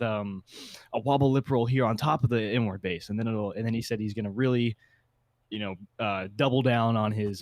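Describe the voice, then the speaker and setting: A man's voice: lowish voice, dry recording. A man casually explaining his predictions at length as a podcast panelist, with plenty of opinions. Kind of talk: casual discussion. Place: online call, talking at a computer.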